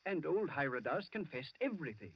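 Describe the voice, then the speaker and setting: Husky, swooping, puzzled jailhouse companion. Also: male voice, a little high, very animated, fairly quick, dry recording, full character voice. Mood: puzzled, sympathetic. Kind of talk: 1940s radio drama acting. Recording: dull, dry 1940s radio recording.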